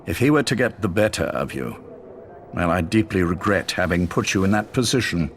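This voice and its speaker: An actor playing a courteous, weary older spymaster, speaking in a genteel, deliberate, quietly menacing way in an audio drama. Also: male voice, deep voice, lively intonation, slight background noise. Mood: intimate, calculating. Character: courteous, weary, secretive older spymaster running covert missions.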